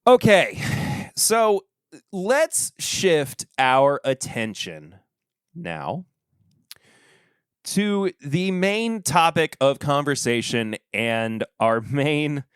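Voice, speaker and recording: A man hosting a podcast, talking casually and rambling in a relaxed way, with a stuffy nose. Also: medium pitch, clear, resonant tone, no echo, clean studio sound. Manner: relaxed, rambling, trailing off quietly. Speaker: podcast host. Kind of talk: casual solo review chat.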